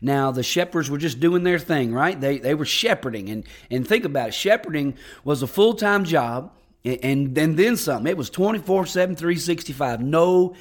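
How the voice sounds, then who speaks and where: A man preaching as pastor on a podcast, hoarse and raspy from losing his voice, talking in a folksy, enthusiastic way with frequent restarts. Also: on the high side, lively intonation, normal speed. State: hoarse, lost voice. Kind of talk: podcast sermon.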